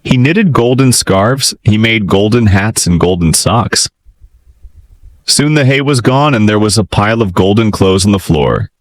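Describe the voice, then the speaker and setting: A man narrating a fairytale as a storyteller, in an expressive, theatrical style. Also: fairly low pitch, a little slow, no room sound, low-quality recording. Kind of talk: dramatic children's fairytale narration.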